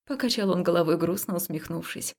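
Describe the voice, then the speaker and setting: A woman narrating a first-person romance story as an audiobook, expressive and confessional, acting out the dialogue. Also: fairly low pitch, fairly quick.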